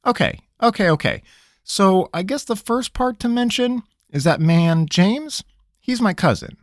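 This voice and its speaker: A man voicing a nervous, rambling young farm-boy mage with a high, twangy, folksy voice. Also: animated, unhurried, no echo, clean studio sound. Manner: jittery, wheedling, folksy.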